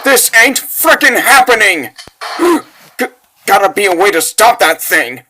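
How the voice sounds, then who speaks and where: A man voicing a terrified but defiant soldier in a comic dub, stammering and shrill. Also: big pitch swings, measured pace, pushed and bright, exaggerated voice. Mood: panicked. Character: panicked male soldier, terrified yet defiant.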